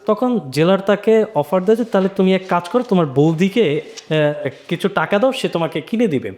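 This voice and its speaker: A man's voice: high-pitched, animated, normal speed, darkish tone. A man speaking as a panelist in a literary discussion, explaining his points and illustrating them with quotes. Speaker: discussion panelist and audio drama maker.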